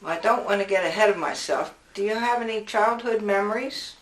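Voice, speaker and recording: Gentle woman interviewer, echoey room. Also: mid-range voice, a little fast. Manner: gentle, encouraging, with short acknowledgments. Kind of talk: oral history interview.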